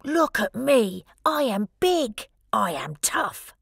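A woman's voice: a little high, sing-song, takes it slowly, vocal fry, slightly rough, dry recording, studio quality, heavily acted. A woman voicing a small, eager young male wolf pup with childlike, exclaiming bravado in a storybook reading. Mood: boastful, eager.

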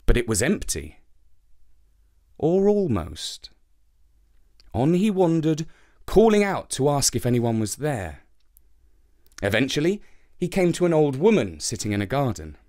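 Guy reading a kids folktale, slow and super animated, expressive storybook narration. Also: mid-range voice.

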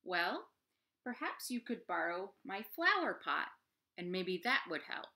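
A woman voicing a kindly neighbor woman who makes gentle, hesitant suggestions. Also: mid-range voice, very animated, measured pace, full character voice. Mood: thoughtful. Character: kindly helpful woman next door.

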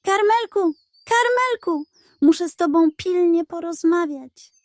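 A woman's voice: very high voice, lively intonation, a little slow, dry recording, clean studio sound, pitched above the performer's own voice. A woman voicing a wise, grave female owl in a children's audiobook, speaking urgently and emphatically. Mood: urgent calling.

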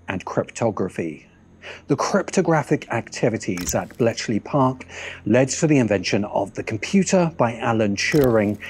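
A middle-aged man narrating a scripted video essay in a measured way, with deliberate emphatic pauses. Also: medium pitch, a little mellow.

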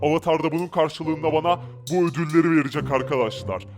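A man's voice: on the high side, quick pace. A man narrating gameplay energetically, addressing viewers and urging them on. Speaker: gaming video creator. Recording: loud music behind the voice. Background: clear background music.

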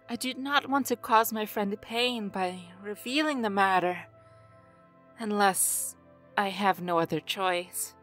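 A woman playing an earnest, formal high elf summoner in a fantasy audio drama, careful in her words. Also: a bit bright.

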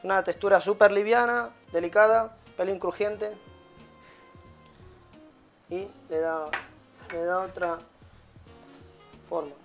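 A man's voice: high voice, steady tone, slow, vocal fry, pauses often. Guy in his 30s doing a casual cooking demo, stop-start talk, bit of music under. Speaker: chef demonstrating a recipe. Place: small tiled restaurant kitchen.

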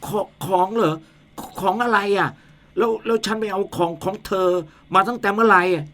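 A man's voice: mid-range voice, animated, moderate pace, a bit bright. A man playing a jumpy young village man who grumbles and stammers in a radio ghost drama. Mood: scared.

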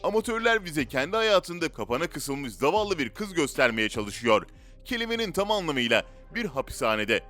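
A man's voice: a little high, sing-song, fairly quick, fairly bright tone. A man reads scripted narration in a dramatic, rhetorical storytelling way. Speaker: narrator of a list video. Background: background music.

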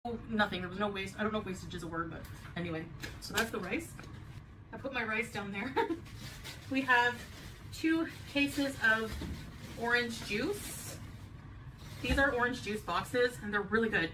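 A woman's voice: fairly high pitch, normal speed, long pauses. A woman vlogger chats in a rambling way as she unpacks groceries in her home kitchen. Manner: chatty, rambling, light laughs. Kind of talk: grocery haul vlog. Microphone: camera on the counter. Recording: distant camera mic, faint room hum, played back.

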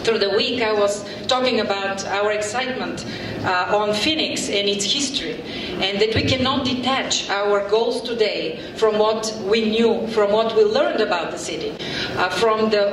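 Bulgarian-accented woman planner, middle-aged, halting public talk, distant mic in a reverberant hall. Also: medium pitch. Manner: halting, many filler pauses, warm thanks. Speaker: urban planner presenting a workshop. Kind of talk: public presentation to a community meeting. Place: large event room with audience. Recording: echoey hall from far off, crowd murmur, background noise.

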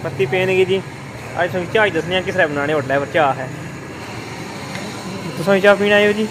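A man's voice: high voice, a little fast, noisy background. A young man from Azad Kashmir, chatting casually and questioning a cook at a roadside hotel. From Azad Kashmir, Pakistan. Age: young adult. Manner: chatty, joking, asks many questions. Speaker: vlogger. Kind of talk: casual travel vlog chat. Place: streets, then a small roadside hotel kitchen. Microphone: handheld camera at arm's length. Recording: clear background music.

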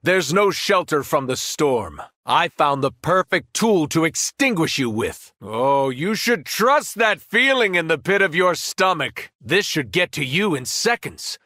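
An actor playing a corrupted superhero turned merciless tyrant in a video game, taunting and commanding with theatrical menace. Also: male voice, a little high, very animated, a little slow, hardly any pauses, sharp tone, no room sound, clean studio sound, full character voice. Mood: mocking, taunting. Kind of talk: video game villain voice acting.

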